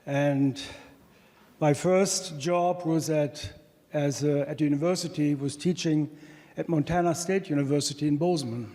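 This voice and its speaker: A middle-aged man with a German accent, an economics professor giving a conference talk from a podium, speaking haltingly with many restarts and fillers. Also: on the high side, fairly even intonation, mellow. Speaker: economics professor presenting research. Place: large conference hall, at a podium. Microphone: handheld microphone. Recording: hall reverb.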